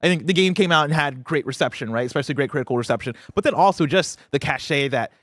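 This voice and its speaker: A man's voice: a little high, animated, quick pace, fairly bright tone, no echo. Man chatting through games news, dry close sound. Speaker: podcast host. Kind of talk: casual games news discussion.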